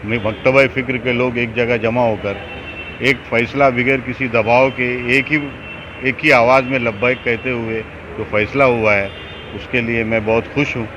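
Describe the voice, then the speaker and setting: A man's voice: mid-range voice, flat delivery, unhurried. A man giving a formal interview statement as a community representative, in ornate, formal wording. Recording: dull audio, clear outdoor traffic noise.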